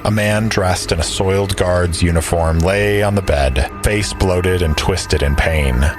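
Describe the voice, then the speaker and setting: A male narrator with a low voice tells a scripted story in audio drama style. Music plays clearly beneath his words. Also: a little slow. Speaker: narrator and player.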